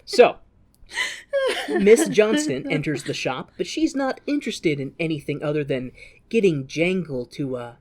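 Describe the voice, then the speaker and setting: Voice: mid-range voice, expressive, measured pace, darkish tone. A podcast host chatting casually through a movie recap, with crude, profane joking and bits of acted-out dialogue.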